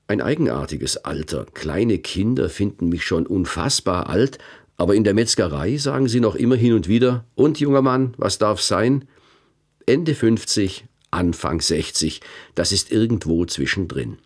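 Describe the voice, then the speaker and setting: A man's voice: on the low side, animated, a little slow. A man in his fifties hosting a radio feature, narrating in a reflective, wry first-person way. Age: 50s.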